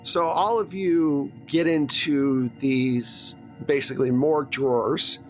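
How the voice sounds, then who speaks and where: A man's voice: mid-range voice, steady tone, slow pace, soft background music. A man hosting and narrating a tabletop roleplaying game as game master, conversational and self-deprecating. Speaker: game master, podcast host.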